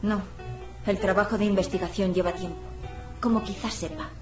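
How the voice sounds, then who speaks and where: An actress playing a sharp-tongued, skeptical young woman in a video game, with a clipped, sarcastic manner. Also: medium pitch, fairly even intonation, moderate pace, far from the mic, close to an everyday voice. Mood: curt. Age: young adult. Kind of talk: video game dialogue acting. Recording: echoey room, music behind.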